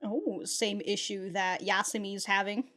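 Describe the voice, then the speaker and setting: A young woman giving casual reaction commentary on an anime episode at her desk, animated and quick to laugh and swear. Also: medium pitch. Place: small room at a desk, indoors.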